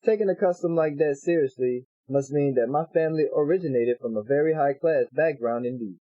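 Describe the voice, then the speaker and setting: A man's voice: on the high side, a little fast, no room sound, muffled recording, faint music behind. A man reading visual novel text aloud for a let's play, with casual slangy asides.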